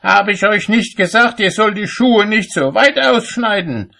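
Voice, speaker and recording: A man voicing an irascible, fault-finding master cobbler in a storybook, shouting and scolding indignantly. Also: high-pitched, animated, unhurried, gravelly, pitched above the performer's own voice, heavily acted. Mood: furious.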